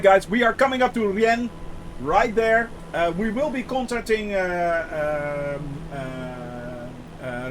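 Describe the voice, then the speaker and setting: A Dutch-accented man with a fairly high voice talks casually through a flight-sim live stream. He rambles and fills pauses with "uh" and "um" while answering his chat. A faint engine drone sits under his voice. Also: a little hiss or hum, low-quality recording. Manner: chatty, many filler "uh"s, reads and answers chat.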